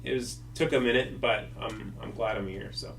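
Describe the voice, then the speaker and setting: A man's voice: fairly low pitch, normal speed, creaky. A young man hosting a casual podcast, speaking loosely and filling with "like" and "you know". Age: young adult. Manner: relaxed, lots of "like" and "you know". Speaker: podcast host. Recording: distant mic with faint hum.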